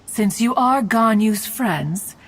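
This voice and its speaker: A woman's voice: fairly high pitch, lively intonation, slow, a little hiss or hum. A woman voicing an ancient, proud but doting immortal in an anime-style video game, with formal, archaic, stately speech. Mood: haughty, gracious. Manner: formal, archaic, stately, calls herself "one". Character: ancient female immortal bird adeptus, proud, doting, haughty elder. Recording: game music clearly behind the voice.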